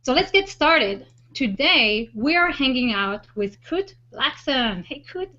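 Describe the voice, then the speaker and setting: A woman's voice: sits high, very animated, slow, bright, forceful tone. A woman hosting an online interview show, speaking in an enthusiastic presenting style over a video call. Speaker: host of a web show. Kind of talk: enthusiastic hosted introduction and interview. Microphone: online video hangout call. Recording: dull, lo-fi web call audio.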